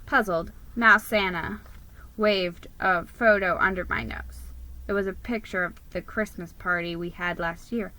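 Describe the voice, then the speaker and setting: A woman's voice: lowish voice, steady tone, unhurried, pushed and bright, slight background noise, poor audio. A woman giving a dramatic scripted reading of a children's story as its narrator. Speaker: storybook reader.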